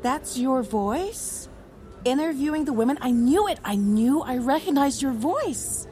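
A woman's voice: sits high, expressive, unhurried, dark tone. A woman in her thirties acting in an audio drama as a bold, teasing, tipsy confidante who talks bluntly and playfully. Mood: astonished, thrilled. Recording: clear music behind the voice.

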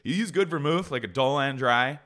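A man's voice: mid-range voice, fairly quick, bright, forceful tone. A man co-hosting a casual podcast chat about cocktails, playful and wry, with long tangents and asides. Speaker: podcast co-host.

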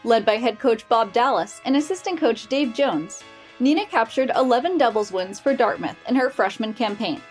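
A woman's voice: a little high, expressive, moderate pace, soft background music. A woman hosting a podcast, reading a polished introduction and asking interview questions. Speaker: podcast host.

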